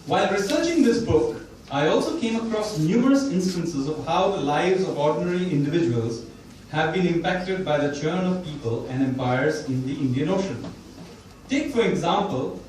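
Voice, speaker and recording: A man, an author at a bookstore event, talks about his book and reads a passage from it, pausing often and restarting his sentences. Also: fairly high pitch, a little mellow, a little hiss or hum. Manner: thoughtful, with pauses and restarts. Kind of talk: talk and reading from his book. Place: bookstore event room, indoors. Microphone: distant mic or none. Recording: very echoey room, voice from far off.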